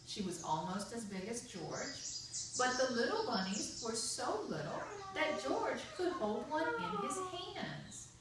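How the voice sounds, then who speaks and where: Echoey, animated woman reading to children. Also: sits high. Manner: expressive, drawn-out words, prompting young listeners. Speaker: storyteller at a children's puppet show. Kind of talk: read-aloud children's storytelling with questions to listeners. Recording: echoey, noisy room, distant microphone.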